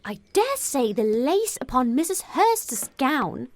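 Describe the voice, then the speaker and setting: An excitable middle-aged mother as a woman narrator acts her out in a storybook reading. She gushes and exclaims in a high, loud, swooping voice, full of gossip and breathless emphasis. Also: slow pace, full character voice. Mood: gushing, thrilled. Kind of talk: audiobook character voice.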